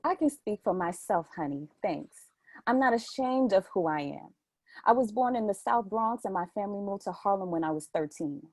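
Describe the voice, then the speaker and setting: Woman playing a sassy, animated young New Yorker girlfriend. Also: medium pitch, normal speed, no room sound. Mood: defiant. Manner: blunt, defiant. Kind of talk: staged play reading.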